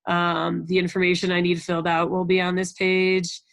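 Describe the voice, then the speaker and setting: A woman's voice: on the low side, completely flat delivery, normal speed, creaky, no room sound. An American woman leading a conference call as a program coordinator, giving an informal, encouraging briefing and often checking in with the group. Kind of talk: informal instructional briefing to coaches.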